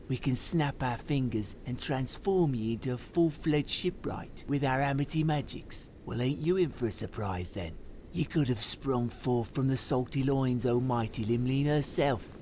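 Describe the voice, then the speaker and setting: A man acts out a mocking fantasy forge hand, full of salty dialect and taunts. His mid-pitched voice sits over faint noise and ambience. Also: darkish tone, close to an everyday voice. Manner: taunting, folksy dialect with archaic sailor slang. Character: gruff, mocking male forge worker in a seafaring fantasy town. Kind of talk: fan-made fantasy game drama acting.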